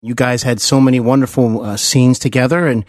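A man's voice: medium pitch, fairly even intonation, a little fast, a little mellow, dry recording, clean studio sound. A man hosting a conversational podcast interview, effusive and wordy, feeling his way into each question. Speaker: podcast host interviewing a guest.

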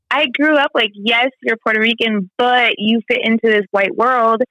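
Chatty woman in her thirties, bright voice. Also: on the high side, no room sound, low-quality recording. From American Midwest. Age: 30s. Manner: candid, chatty, lots of "like" and "you know". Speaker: guest. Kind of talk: personal conversation.

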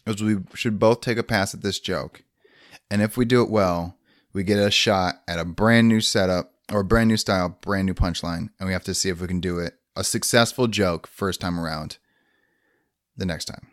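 A man with a somewhat low voice co-hosting a comedy podcast, trading wry, mock-boastful jokes. He is close on the mic in a dry room with no echo. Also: normal speed. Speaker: podcast co-host. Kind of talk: casual comedy podcast chat.

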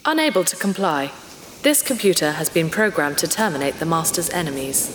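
A low-pitched, menacing ship's computer acted by a woman speaks in clipped, formal statements. It is literal and childishly devoted to its master, as in a sci-fi audio drama, with long reverb and clear background noise. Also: very animated, fairly quick, a bit bright. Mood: coldly menacing. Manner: clipped, formal machine-like phrasing. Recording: reverberant hall sound with noisy ambience.

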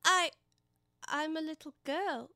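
A curious, earnest little girl from a storybook, voiced by a woman. She speaks in a high, bright voice with lively rises and falls, polite yet quick to exclaim. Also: dry recording, studio quality, full character voice. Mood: doubtful. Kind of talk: dramatised storybook reading.

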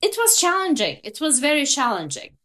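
A woman's voice: sits high, huge pitch swings, brisk, pushed and bright. A woman in her fifties from Turkey with a Turkish accent, a guest in an interview telling her career story reflectively, with frequent small laughs.

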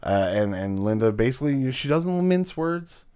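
A man co-hosting a podcast in casual chat, with a loud voice that carries, profane and fond of telling stories. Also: mid-range voice, sing-song, moderate pace, smooth. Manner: profane, storytelling, laughing often. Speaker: podcast co-host.

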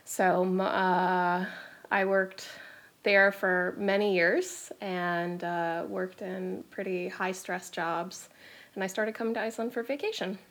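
A woman in her thirties from Florida with an American accent, chatting as a podcast guest and telling her story in a quick, chatty way. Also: medium pitch, fairly even intonation, a bit bright. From Florida, United States. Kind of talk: casual interview chat.

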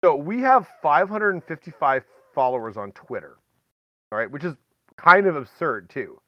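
A man's voice: a little high, animated, normal speed, no echo. Man hosting a podcast, dull dry sound. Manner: chatty, folksy exclamations, addresses listeners directly. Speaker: podcast host. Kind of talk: casual, rambling podcast chat.

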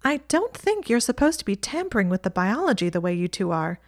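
A woman's voice: mid-range voice, big pitch swings, brisk, pitched above the performer's own voice. A woman voicing a chatty, teasing young witch girl who speaks in a lofty, blunt way. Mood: earnest.

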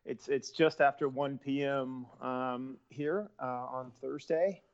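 A man's voice: mid-range voice, steady tone, takes it slowly. Chatty actor guy in his 40s, grew up speaking portuguese, rambles with lots of ums, kinda dull lo-fi line. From Central California. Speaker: actor guest. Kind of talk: casual interview over a call.

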